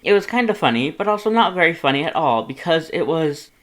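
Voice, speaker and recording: A man's voice: on the high side, expressive, brisk, a bit bright, voice pushed up. A man voicing a pompous, dramatic male skeleton with a grandiose, formal, over-enunciated way of talking. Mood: earnest, scolding.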